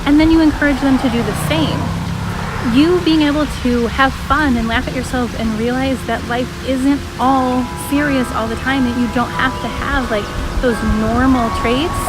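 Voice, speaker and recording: A woman teacher hosting her own vlog, speaking to other teachers in a casual, encouraging way with a booming voice. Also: fairly even intonation, normal speed, over loud music, low-quality recording. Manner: upbeat, encouraging, talks straight to the viewer.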